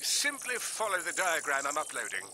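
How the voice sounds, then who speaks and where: A pedantic robot scientist from a game, high and very sharp in tone. He uses formal, clipped, wordy phrasing with lively intonation over faint noise. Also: normal speed, exaggerated voice. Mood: precise, officious.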